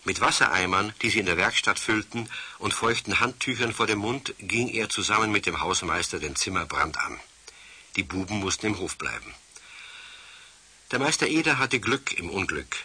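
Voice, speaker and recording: A man narrating a children's radio play as a lively storyteller with a husky voice. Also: on the low side, normal speed, heavily acted. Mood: dramatic storytelling. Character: male storyteller of a children's tale.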